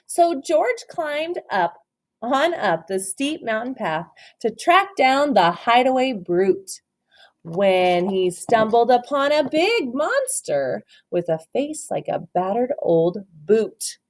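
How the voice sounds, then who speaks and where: A woman reads a picture book to children in a high, very lively voice. She takes her time, breaking off for chatty asides and questions to her listeners. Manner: expressive, pausing to point things out and question listeners. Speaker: read-aloud storyteller.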